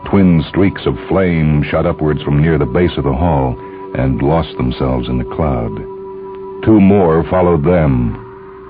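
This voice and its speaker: A man reading a scripted story as a dramatic audiobook narrator. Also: very deep voice. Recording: dull, band-limited lo-fi audio. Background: clear background music.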